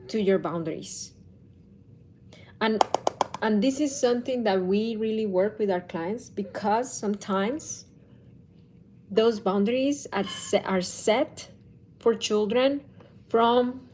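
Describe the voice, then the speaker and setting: A woman in her thirties speaks slowly and deliberately, like a parenting coach talking straight to her viewers. Her pitch sits in an ordinary middle range, and she often restarts a phrase to get it right. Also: a little mellow, low-quality recording. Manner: slow, thoughtful, with restarts and self-corrections. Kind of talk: casual talk to camera.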